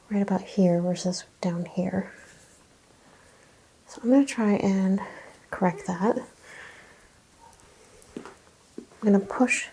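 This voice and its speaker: A soft-spoken woman, often slipping into whispers, narrates a hands-on treatment as a chiropractor in an ASMR roleplay. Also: medium pitch, gravelly, slightly rough, poor audio. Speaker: ASMR performer playing a chiropractor.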